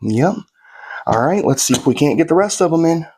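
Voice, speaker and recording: A man's voice: a little high, animated, brisk, a little mellow, slight background noise. A man narrating a how-to build in a laid-back, folksy way while he works, sniffly from a slight cold. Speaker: guitar kit builder.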